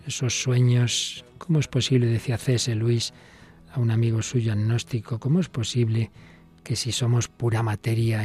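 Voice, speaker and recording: A man, a priest, hosting a religious radio talk show in a measured, reflective way. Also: mid-range voice, warm tone. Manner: measured, thoughtful, quoting songs and authors.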